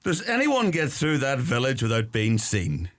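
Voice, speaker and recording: Radio play: young Irish brother and son male actor, mid-pitched, swooping delivery, eager to smooth things over. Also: huge pitch swings, normal speed. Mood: wry, eager.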